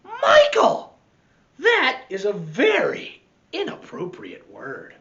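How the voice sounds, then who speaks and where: A man voicing a shocked schoolgirl scolding her brother in a prim, shrill way, for a storybook. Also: huge pitch swings, slow, a bit bright, highs cut off, full character voice.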